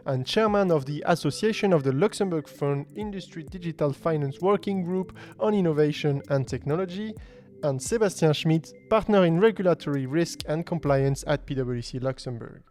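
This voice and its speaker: A man's voice: fairly high pitch, lively intonation, normal speed, a little mellow, faint music behind. A man hosting a business podcast, reading a scripted intro and then hosting in an upbeat, enthusiastic way. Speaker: podcast host.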